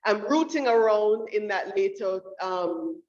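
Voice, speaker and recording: A woman's voice: mid-range voice, animated, a little slow, darkish tone. A woman talks through her research in a university lecture room, searching for words with many restarts and fillers. The room is echoey, and the sound is a little dull and muffled. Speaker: featured author at a book talk. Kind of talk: academic talk about her research. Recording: roomy, dull room audio.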